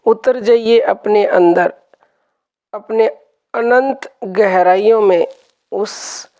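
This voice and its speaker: A woman guides a meditation session in a medium-pitched voice. She speaks very slowly and calmly, giving step-by-step instructions. Phrases come back in gentle repetition. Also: creaky.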